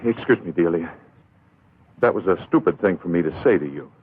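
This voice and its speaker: A narrow, tinny old film track holds an irritable traveller. His low, husky voice swings widely as he goes from curt to polite. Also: animated, a little fast, a little hiss or hum. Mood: irritated, contrite. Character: irritable, impulsive man stranded on a trip, protective of car. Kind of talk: dubbed vintage horror film acting.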